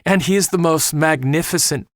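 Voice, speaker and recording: A man's voice: fairly high pitch, normal speed, dry recording, clean studio sound. A middle-aged missionary man in an earnest podcast conversation, talking with preacher-like passion and repeating words as he drives points home. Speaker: missionary guest.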